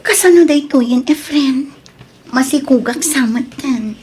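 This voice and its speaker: A woman acting a softly persistent, pleading mistress in an Ilocano audio drama, with a Filipino accent. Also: high-pitched, unhurried, music in the background. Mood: anxious, pleading.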